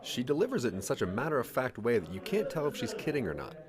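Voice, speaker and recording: A man's voice: mid-range voice, very animated, fast talker, a crowd in the background. A man narrating a scripted second-person story as the narrator of an audio drama.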